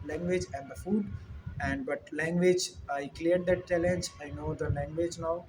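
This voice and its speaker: A young man from India, a native Hindi speaker with an Indian accent, explaining things informally in an earnest, slightly halting way. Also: fairly high pitch, fairly even intonation, music in the background, rough recording. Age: young adult. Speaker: dairy technologist answering questions. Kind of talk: informal question-and-answer explanation.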